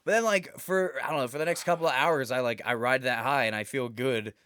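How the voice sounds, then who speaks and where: A man's voice: mid-range voice, fairly quick, fairly bright tone, clear, resonant tone, no echo. A man co-hosting a casual podcast, chatting loosely, swearing and laughing often. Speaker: podcast co-host. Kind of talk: casual chat.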